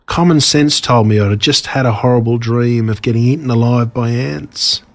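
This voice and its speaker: A man's voice: mid-range voice, normal speed. Australian man narrating a children's story. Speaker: author reading own story.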